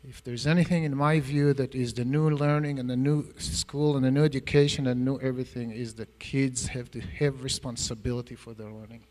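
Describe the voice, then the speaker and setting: A man's voice: mid-range voice, darkish tone. Israeli man, earnest panel talk in a hall. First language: Hebrew. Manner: earnest, rambling with many fillers and restarts. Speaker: panelist, math education professor. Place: school auditorium.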